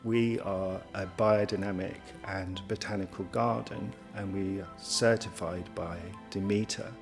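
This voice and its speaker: A man on a college's staff speaking earnestly and deliberately in a promotional interview. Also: low-pitched, steady tone, creaky. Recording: music behind the voice. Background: background music.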